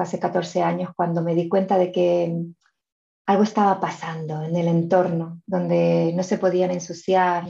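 A middle-aged woman from Argentina talks as a podcast guest in a low, mellow voice. She speaks earnestly and reflectively, often circling back to repeat her words. The recording sounds somewhat dull and muffled. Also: vocal fry. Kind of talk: reflective storytelling.

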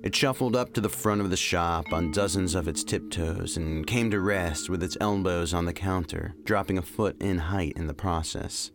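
A man's voice: sits low, moderate pace, hardly any pauses. A man reading scripted short fiction as a story narrator. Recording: music bed under narration.

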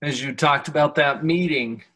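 A man's voice: on the high side, normal speed, a bit bright. A man hosting an interview who keeps affirming his guest and summing up what the guest has said. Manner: affirming, sums up the guest's points. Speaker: interview host. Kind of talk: conversational interview.

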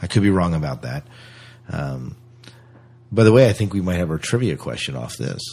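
Very low-pitched male podcast host, slightly husky. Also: big pitch swings, moderate pace, a little mellow. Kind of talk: casual podcast chat about news.

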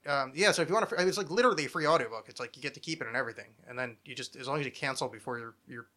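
A man's voice: medium pitch, racing, sharp tone. A man hosting a casual comedy podcast, rambling along with sarcasm and plenty of swearing. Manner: sarcastic, rambling, foul-mouthed. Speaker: podcast host.

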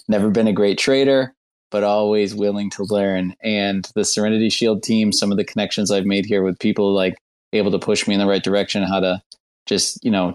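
A man's voice: on the low side, darkish tone. A man from the United States, a product lead speaking on a panel in an earnest, easygoing way. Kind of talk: casual panel chat.

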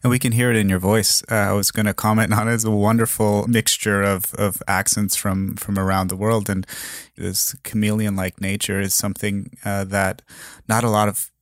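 Very deep flat-voiced male interviewer. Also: no room sound, clean studio sound. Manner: measured, halting questions. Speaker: interviewer host. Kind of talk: interview conversation.

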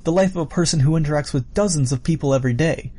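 Man voicing a taunting, high-pitched playful killer. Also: fast talker, mellow, husky. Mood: musing menace. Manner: taunting, musing, theatrical. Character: sadistic, playful adult male killer, theatrically menacing villain.

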